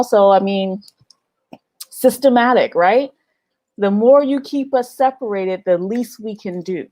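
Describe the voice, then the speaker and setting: A woman's voice: medium pitch, a little mellow, no room sound. Woman vlogger, casual livestream host, talks straight to viewers, laughs easily, dry close mic. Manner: chatty, laughs often, addresses viewers directly. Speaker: vlog host running a livestream. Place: living in Ghana.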